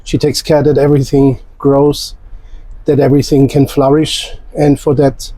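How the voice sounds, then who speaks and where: A male interviewer speaks deliberately and without hurry, his voice mellow and warm. The recording is dry and close. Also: medium pitch, little pitch movement, no echo, poor audio. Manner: deliberate, unhurried, fond of farming imagery. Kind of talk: interview questions.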